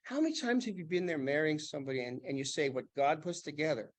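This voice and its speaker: A man on a panel, an animated and joking storyteller who used to preach. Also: on the high side, quick pace. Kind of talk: panel discussion.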